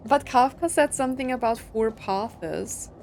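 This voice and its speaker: A woman's voice: fairly high pitch, unhurried, a little hiss or hum. Chatty woman musing over a game. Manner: chatty, informal, musing aloud. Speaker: game streamer. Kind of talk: casual playthrough commentary. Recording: soft game music behind.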